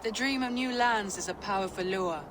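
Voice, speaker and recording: A woman's voice: mid-range voice, moderate pace, a bit bright, slight background noise, natural voice. A woman playing a practical, decisive Viking noblewoman in a game cutscene, with direct, formal delivery. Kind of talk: dramatic game cutscene acting. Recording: orchestral music behind the voice.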